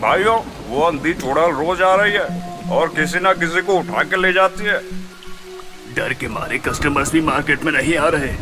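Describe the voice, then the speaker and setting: A man's voice: a little high, normal speed, lightly acted. A man voicing frightened townsmen in a cartoon, a shopkeeper and a doctor, pleading and fearful. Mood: anxious. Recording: music bed.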